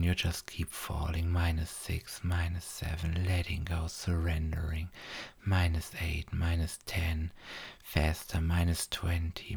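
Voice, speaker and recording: A man guiding a hypnosis induction in a soft, repetitive way, often dropping to a whisper. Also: rumbling low, gravelly, husky.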